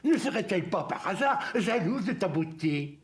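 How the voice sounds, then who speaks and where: Woman as a wise, expressive little forest dweller. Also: mid-range voice, close to an everyday voice. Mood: knowing. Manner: articulate, measured, somewhat formal. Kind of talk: French-dubbed cartoon acting.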